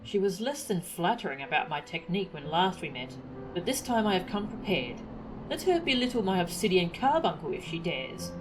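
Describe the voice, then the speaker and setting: A woman's voice: on the low side, very animated, a little fast, rough recording. A woman reading aloud the game dialogue of a proud, prickly young scholar in a formal, wry way. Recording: stream with loud game music.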